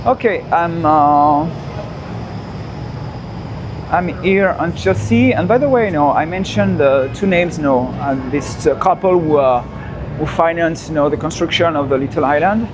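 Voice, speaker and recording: A French-accented man with a slightly higher-pitched voice talks through a live walking tour, speaking in loose, repetitive phrases full of friendly asides. Heavy city traffic hums and roars around him as he walks outdoors with his phone. French is his first language. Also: moderate pace. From France. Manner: chatty, repeats phrases, frequent "you know" asides. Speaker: walking tour livestream host. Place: outdoors on busy city streets. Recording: loud street traffic behind the voice. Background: city traffic and street noise.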